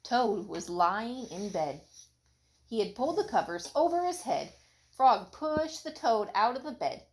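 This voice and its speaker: A woman's voice: on the high side, lively intonation, a little slow, poor audio. A mother reading a children's story aloud expressively, breaking off for casual questions to her children. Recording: home recording with background noise.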